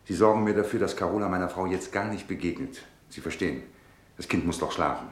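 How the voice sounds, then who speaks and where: An actor in a German radio play as a tense, weary husband, speaking in a controlled, instructing manner. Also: male voice, low voice, fast, reverberant, natural voice. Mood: firm, hushed. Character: tense, weary husband searching for a missing child.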